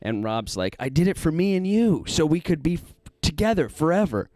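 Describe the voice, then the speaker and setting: A man's voice: a little high, very animated, a little fast, warm tone, no room sound. A man co-hosting a casual talk podcast, telling rambling stories and teasing as he goes. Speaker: podcast co-host. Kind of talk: casual banter and storytelling.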